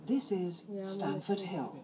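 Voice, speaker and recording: A woman with a British accent reading formal, measured scripted train announcements with careful enunciation. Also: lowish voice, recorded from a distance. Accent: British English. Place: inside trains, played over announcement speakers. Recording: noisy, echoey onboard speaker recording from afar.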